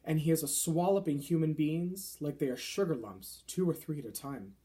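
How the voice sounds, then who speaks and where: A man voicing a gentle, nervous giant in a children's storybook, speaking softly with quaint muddled grammar and nonsense words. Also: on the high side, moderate pace, natural voice. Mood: hushed warning.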